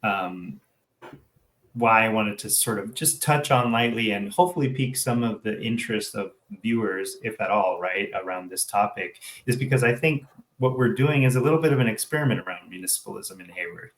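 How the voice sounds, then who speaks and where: A man's voice: lowish voice, brisk, fairly bright tone, echoey room. A man co-hosting a casual local discussion show, talking reflectively and often checking in with "right?". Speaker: co-host of a local civic affairs show.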